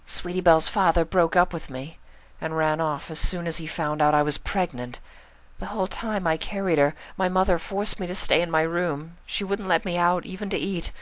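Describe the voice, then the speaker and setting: A woman's voice: fairly low pitch, fairly even intonation, moderate pace, fairly bright tone, no room sound, voice pushed up, close to an everyday voice. A woman voicing a genteel, anxious young mother unicorn with proper, plaintive phrasing in a story reading. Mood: hurt, confiding.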